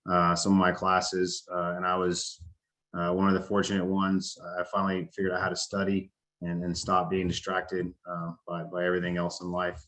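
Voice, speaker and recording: Man, forties, very deep voice, flat delivery, halting, lots of fillers. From United States. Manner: halting, many fillers and restarts. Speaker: veteran speaker. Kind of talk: casual talk to an audience.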